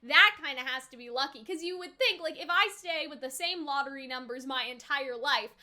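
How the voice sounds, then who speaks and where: A woman in her twenties giving a casual, rambling reaction and review, animated and peppered with filler phrases like "you know". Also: high voice, fairly quick. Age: 20s. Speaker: reaction video host and reviewer.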